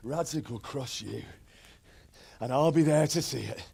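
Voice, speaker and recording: Defiant young game hero in captivity: creaky mid-range voice, bitter and blunt, scored with music. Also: male voice, mid-range voice, slow, warm tone, dry recording, exaggerated voice. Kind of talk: dramatic video game cutscene acting.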